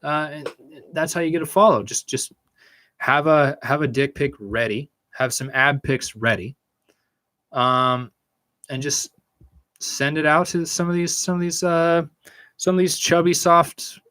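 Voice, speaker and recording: A man's voice: on the high side, steady tone, a little slow, sharp tone, no echo. Crude, joking young American man, dry close mic. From United States. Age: young adult. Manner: crude, joking, swears a lot. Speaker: podcast host answering chat. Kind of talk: casual livestream chat. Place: small room with computers. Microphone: studio mic on a stand in front.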